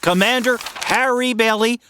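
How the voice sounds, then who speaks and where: A woman's voice: fairly high pitch, moderate pace, noisy background, close to an everyday voice. A woman playing an earnest, flustered young small-town man in a radio drama, stammering and folksy. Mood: proud, excited. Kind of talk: old-time radio drama acting.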